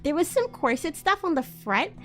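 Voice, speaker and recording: High-voiced woman doing a theatrical live reading. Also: big pitch swings, moderate pace, fairly bright tone, faint music behind. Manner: playful, exaggerated, giggly, sweary. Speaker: streamer, host of a live read-along. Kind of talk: theatrical dramatic reading with amused asides.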